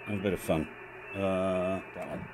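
A man's voice: low-pitched, steady tone, normal speed, background noise. Man calling out ham radio call signs. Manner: calls out phonetic call signs loudly, then chats to himself. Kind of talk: live radio contacts and casual commentary. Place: home radio shack. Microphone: headset.

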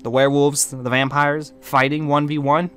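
A man's voice: fairly high pitch, level tone, moderate pace, a bit bright, faint music behind, close to an everyday voice. A man playing a bitter, proud, suspicious warlock leader in an amateur game roleplay, brooding dramatically and snapping back with sassy slang.

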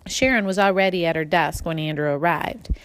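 A woman's voice: on the low side, fairly quick, fairly bright tone, clean tone. A woman narrating a children's storybook from a script, as an audiobook reader.

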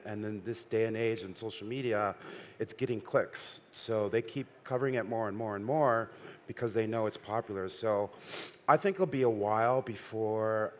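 A middle-aged man on a conference panel, speaking in a measured, businesslike way. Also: lowish voice, a little hiss or hum. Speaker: panelist, VR adult company. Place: stage at a conference hall.

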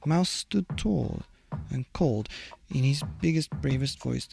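Slow, animated man reading a bedtime story. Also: medium pitch, gravelly, music in the background. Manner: theatrical, deliberate. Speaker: bedtime story podcast narrator. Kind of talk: expressive children's storybook narration.

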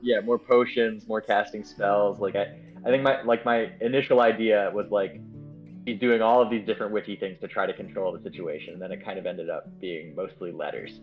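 Male guest developer, lowish register, keen meandering conversational delivery, soft guitar underneath. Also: fairly even intonation, brisk, muffled recording. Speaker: game writer and director, guest. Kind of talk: casual chat in a Q&A. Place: online voice call. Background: guitar music playing softly.

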